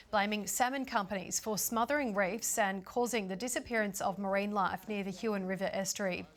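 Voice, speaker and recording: A woman anchors the evening news with a crisp, measured read. Her pitch sits right in the middle for a woman. The studio sound is clean. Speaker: news presenter. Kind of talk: scripted news reading.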